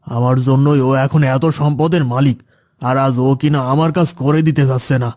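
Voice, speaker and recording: Man playing a resentful schemer, mellow voice. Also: mid-range voice, fairly even intonation, fairly quick, natural voice. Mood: aggrieved, spiteful. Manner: aggrieved, scheming. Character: envious, resentful man plotting against his friend. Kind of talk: cartoon voice acting.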